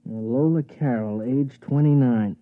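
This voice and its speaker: A man's voice: medium pitch, unhurried, close to an everyday voice. An actor playing a skeptical, slangy male sidekick to a schemer in a 1950s radio drama. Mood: sardonic. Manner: slangy, sardonic, wisecracking. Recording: dull vintage 1950s radio recording.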